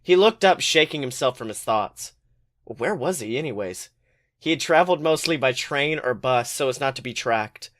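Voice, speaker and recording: A man podcast host reading fan fiction aloud as expressive, colloquial story narration. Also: mid-range voice, normal speed.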